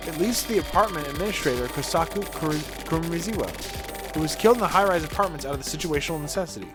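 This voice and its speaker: A man streaming a game, reading its text aloud in character with a theatrical, colloquial delivery. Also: on the high side. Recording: music playing behind the voice.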